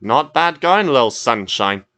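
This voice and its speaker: A man voicing a gruff, sneering male tugboat who barks at the others. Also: mid-range voice, big pitch swings, measured pace, bright, forceful tone, full character voice.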